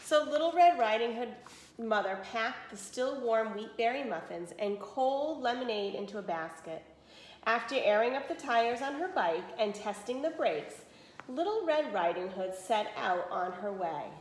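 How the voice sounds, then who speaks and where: A woman's voice: a little high, lively intonation, measured pace, slight background noise. A woman in her forties reading a children's story expressively as a teacher, with explanatory asides to pupils. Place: small room indoors. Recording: roomy echo of a small room.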